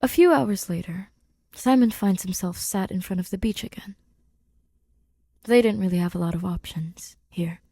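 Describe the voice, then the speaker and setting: A woman reading fiction as an audiobook narrator, in a hushed, deliberate and dramatic manner. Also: medium pitch, husky, no room sound. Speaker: fan fiction podfic reader.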